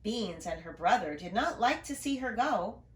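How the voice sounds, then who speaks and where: A woman's voice: medium pitch, moderate pace. A woman reading a children's story aloud expressively, adding little asides about the pictures. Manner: expressive, storytelling to young listeners. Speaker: storybook reader, educator. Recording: roomy, echoing room sound.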